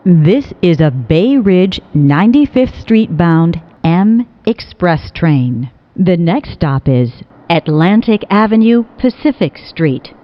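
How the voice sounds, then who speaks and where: A woman's slightly low voice delivers scripted train announcements at a very unhurried pace. Her pitch moves up and down in a lively way across measured, pieced-together phrases. The recording is dull and lo-fi. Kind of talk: scripted automated transit announcements.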